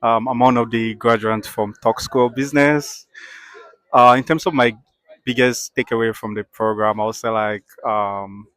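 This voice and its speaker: A man's voice: medium pitch, fairly even intonation, normal speed, fairly bright tone, no room sound. A man from Nigeria, an MBA graduate, speaking hesitantly with many fillers.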